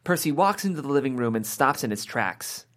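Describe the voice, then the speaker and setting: Mid-pitched screenplay narrator. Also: sing-song, brisk, fairly bright tone. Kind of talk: reading screenplay stage directions aloud.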